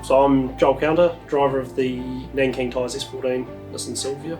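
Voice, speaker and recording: A man's voice: medium pitch, fairly even intonation, moderate pace. A thirty-year-old man, eagerly recalling his start in racing for a documentary interview. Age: 30s. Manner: enthusiastic, rambling recollection. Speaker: drift driver interviewee. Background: guitar music.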